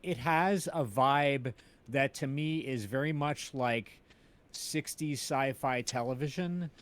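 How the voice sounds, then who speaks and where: A middle-aged man in an informal, enthusiastic film chat on a livestream, building his points haltingly as an interviewer. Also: mid-range voice. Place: indoors at home, on a group video call.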